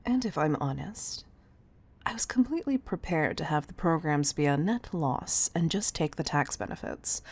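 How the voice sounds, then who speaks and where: A woman voicing a stern yet doting tough-love CEO in an audio roleplay, speaking in a measured, condescending way with pet names. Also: sits low, a little mellow. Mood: composed, matter-of-fact. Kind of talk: scripted audio roleplay addressed to the listener.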